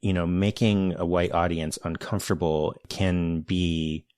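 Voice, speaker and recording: Deep-voiced man, measured and searching. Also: flat delivery, a little mellow, no echo. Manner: measured, searching, many restarts and hedges. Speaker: podcast host, interviewer. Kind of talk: thoughtful conversational interview.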